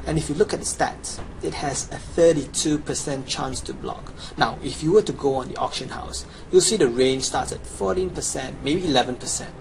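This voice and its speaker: A man's voice: fairly high pitch, normal speed, few pauses. A young adult man giving a scripted promotional voice-over as a gamer presenting his guide. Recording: clearly noisy home recording.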